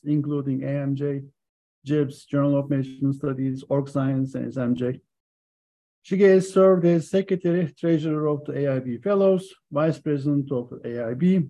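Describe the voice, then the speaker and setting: A man hosting an interview reads out his guest's introduction, then chats and asks questions. His voice sits a little high and sounds mellow. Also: low-quality recording. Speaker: interview host.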